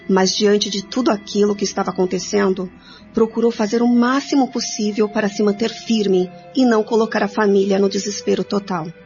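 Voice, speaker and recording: A woman's voice: medium pitch, a little mellow. A woman narrating a radio serial from a script in a storytelling style. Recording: dull recording with music.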